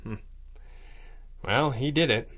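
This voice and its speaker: Bright, confident masked hero. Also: male voice, mid-range voice, slow pace. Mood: measured, explanatory. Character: masked vigilante hero, confident, wry, protective boss. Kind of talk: 1930s-style radio drama acting.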